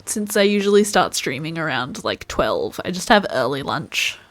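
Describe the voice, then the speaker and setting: Lively, low-pitched Australian woman streamer. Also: normal speed, no echo. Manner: chatty, answers viewers' chat. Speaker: gameplay streamer. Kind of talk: live-stream gameplay commentary.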